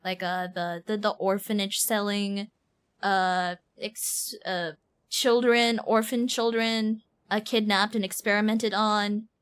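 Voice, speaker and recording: A woman streaming a game, giving casual live reaction commentary in an animated, exaggerating manner. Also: medium pitch, takes it slowly, smooth. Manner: animated, mimics lines with exaggeration.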